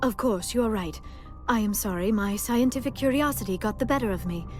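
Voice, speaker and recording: An actress playing a young alien scientist in a video game, earnest and polite, with formal, precise speech. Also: female voice, medium pitch, fairly quick, faint music behind, close to an everyday voice. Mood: polite, grateful. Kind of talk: video game dialogue acting.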